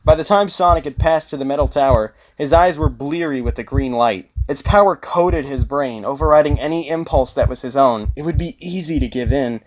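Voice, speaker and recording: A man's voice: fairly high pitch, brisk, hardly any pauses, low-quality recording. A man narrating an audiobook in a scripted, dramatic storytelling style.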